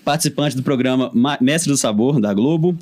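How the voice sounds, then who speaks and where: A man's voice: mid-range voice, a little fast, a little mellow. A man hosting a podcast, chatting and laughing easily. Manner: friendly, laughs often, reads ad. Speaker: podcast host. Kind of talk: casual podcast chat.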